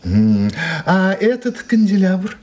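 An actor playing a polite, romantic young gentleman in an audio drama, with a husky voice and a hesitant, wry manner. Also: sits high, sing-song, takes it slowly, full character voice.

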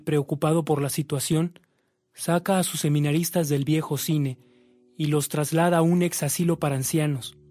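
A man acting as the serious narrator of a historical religious radio drama, telling it in a solemn, measured way. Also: on the high side, a little mellow, natural voice.

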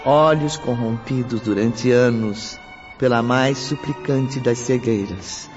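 Solemn male radio drama narrator. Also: mid-range voice. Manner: solemn, measured. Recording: dull lo-fi audio with music bed.

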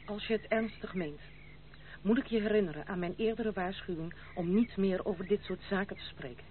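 A woman's voice: medium pitch, brisk, close to an everyday voice. An actress playing a proud, independent young noblewoman in a radio play, speaking formally, firmly and with dignity. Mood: resigned, grave. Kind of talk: old radio play acting. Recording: tinny, band-limited, hissy old radio recording.

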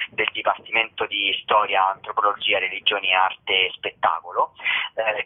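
Male theatre curator, lowish voice, giving an explanatory radio interview over a narrow telephone connection. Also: slightly rough. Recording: narrow tinny phone line.

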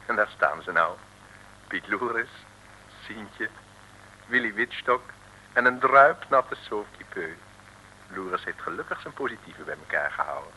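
An actor as the wry, theatrical storyteller of an old radio adventure serial. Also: male voice, on the low side, expressive, a little slow, pauses often, bright, forceful tone, a little hiss or hum, heavily acted, low-quality recording. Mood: wry, suspenseful. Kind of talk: theatrical scripted narration, 1950s-60s radio.

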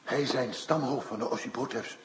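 A man's voice: mid-range voice, moderate pace, slightly rough, poor audio. A man playing a meek, earnest foreigner in a radio drama, speaking solemnly in broken, formal grammar. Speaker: actor in a radio play. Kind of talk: Dutch radio drama acting.

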